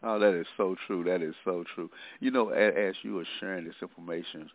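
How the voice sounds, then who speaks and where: A man's voice: low-pitched, fairly even intonation, a little fast. A male podcast host talking with the guest in a fervent, preacherly way, affirming her and drawing lessons from faith. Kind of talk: preacher-style interview talk. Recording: thin phone-like line.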